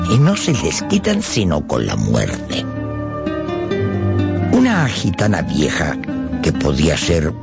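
Radio play narrator: wry, theatrical, very low and gravelly, telling a classic tale. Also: male voice, heavily acted. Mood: wry, mocking. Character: wry male storyteller of a classic Spanish tale. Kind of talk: dramatized storytelling for a radio play. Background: loud music.

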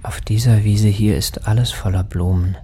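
An actor playing a dreamy lost spirit in a radio play, speaking in hushed, halting, trance-like fragments. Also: male voice, on the low side, steady tone, slight background noise, lightly acted. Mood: wondering, remembering. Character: dreamy male spirit, a wandering lost soul. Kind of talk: poetic radio play monologue. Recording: studio radio drama with music underneath. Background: music.

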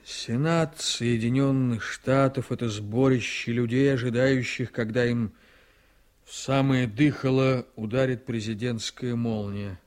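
An actor playing an ambitious, cynical, domineering politician and prosecutor in a radio drama, with a theatrical, emphatic delivery that moves between confiding and fierce. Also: male voice, medium pitch, a little mellow, close to an everyday voice. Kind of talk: Soviet radio drama acting.

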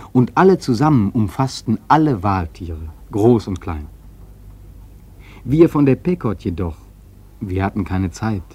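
A man's voice: lowish voice, a little mellow, husky. An actor playing a seasoned, wry sailor who recounts his whaling voyage in a radio play, musing and emphatic. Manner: musing, rhetorical, emphatic storytelling. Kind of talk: literary radio play narration.

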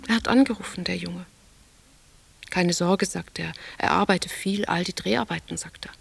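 A woman's voice: lowish voice, normal speed, gravelly, husky, exaggerated voice. An actress playing an anxious, withdrawn woman who broods in terse fragments, sometimes dropping toward a whisper, in a literary radio drama. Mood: brooding. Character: anxious, withdrawn younger woman lost in inner thoughts.

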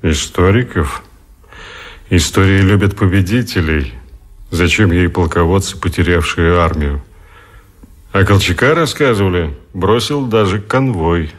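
An actor playing a pensive revolutionary investigator in a radio play, speaking in a thoughtful, formal manner. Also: male voice, deep voice, measured pace.

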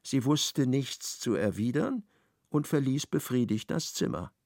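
A man narrating a radio play in a measured, ironic storytelling manner. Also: medium pitch, lively intonation, gravelly, darkish tone, no room sound, clean studio sound.

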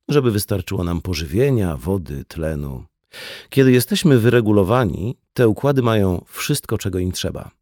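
A man's voice: lowish voice, dark tone, no room sound, clean studio sound. A man reading a conversational non-fiction audiobook as scripted narration. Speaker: audiobook reader.

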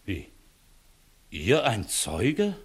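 A man playing a stern, sceptical inspector of high rank in a classical radio comedy, curt and authoritative. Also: wildly swooping pitch, extremely slow, slightly rough, heavily acted. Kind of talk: 1960s German classical comedy radio play acting.

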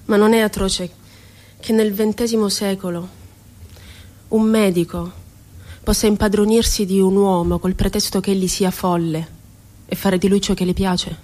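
A woman's voice: mid-range voice, steady tone, moderate pace, long pauses, warm tone, close to an everyday voice. An actress playing a passionate, wounded woman poet shut away in an asylum, musing aloud with irony and tenderness in a theatrical radio monologue. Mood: indignant, sorrowful. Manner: dramatic, ironic, shifting between tender and indignant.

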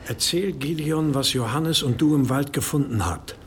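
A man playing a stern, controlled monastery abbot who speaks gravely in a German audio drama. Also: a little high, a little hiss or hum, lightly acted. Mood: calm, commanding. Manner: grave, measured, questioning.

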